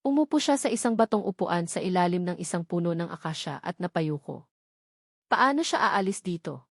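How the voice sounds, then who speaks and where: A woman's voice: lowish voice, expressive, a little fast, dry recording, clean studio sound. A woman with a Filipino accent reading a scripted love story as a dramatic narrator.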